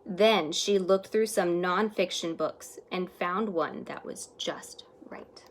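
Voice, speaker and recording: A woman's voice: fairly low pitch, takes it slowly, a little hiss or hum. Woman reading a children's storybook expressively. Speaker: teacher reading to students.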